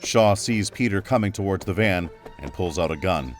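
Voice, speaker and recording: An actor serving as the measured narrator of a scripted action radio drama. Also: male voice, low voice, expressive, faint music behind.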